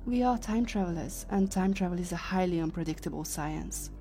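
Sci-fi audio drama: actress as female time-traveler leader, mellow, measured, in command, light music bed. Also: lowish voice, lightly acted. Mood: composed. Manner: measured, authoritative, explanatory. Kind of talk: science fiction audio drama acting.

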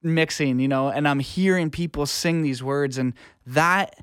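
Male interview guest, music-engineer type. Smooth, clear tone, very dry recording, informal and sincere delivery. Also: on the high side, unhurried, a bit bright, dry recording. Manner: colloquial, earnest, peppers talk with "man" and "like". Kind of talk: casual interview conversation.

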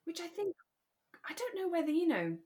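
A woman co-hosting a podcast, talking in a relaxed, reflective and agreeable way about a drama. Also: very high-pitched, a little fast. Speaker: podcast co-host. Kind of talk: relaxed discussion of a radio drama.

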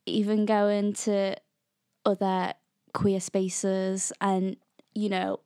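A woman in her late teens from Liverpool talks as a podcast guest. Her speech is informal and conversational, sprinkled with "like". Her pitch is average and the sound is dry. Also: level tone, extremely slow, warm tone, clear, resonant tone, no echo. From Liverpool, England. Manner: informal, peppered with "like". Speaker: podcast guest, art student. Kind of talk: casual interview conversation.

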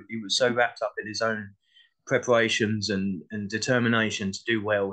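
A man with a southern English accent and a slightly low voice speaks as a guest in a casual discussion. He is hesitant, often repeating a word as he starts a thought. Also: a bit bright, low-quality recording. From Essex, England. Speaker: podcast guest.

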